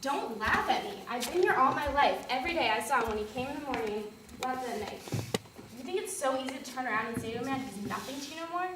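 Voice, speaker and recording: An actress playing an anxious, devoted young American woman who pleads rapidly and emotionally. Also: high-pitched, animated. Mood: upset. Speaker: stage actress. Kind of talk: stage play performance. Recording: roomy, distant mic, noisy.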